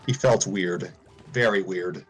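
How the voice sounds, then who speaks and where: A man's voice: deep voice, slow pace, husky, soft background music. A man reading a fan story aloud, often stumbling and restarting lines.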